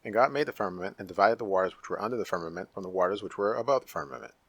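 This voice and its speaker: Deep, husky male scripture narrator. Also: very fast, fairly bright tone, dry recording. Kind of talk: dramatic scripture reading.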